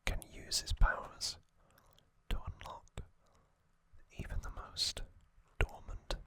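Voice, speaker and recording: Slow, whispering man hosting ASMR. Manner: soft whisper, slow, with hesitant fillers. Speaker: ASMR host.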